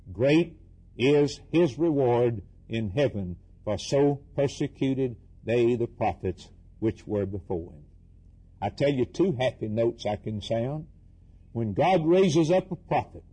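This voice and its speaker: A man's voice: mid-range voice, dark tone, breathy edge, low-quality recording. A man preaching a fervent Bible sermon, emphatic and repetitive, using rhetorical questions, short declarative bursts and dramatic delivery of scripture. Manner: dramatically voices quoted scripture and dialogue.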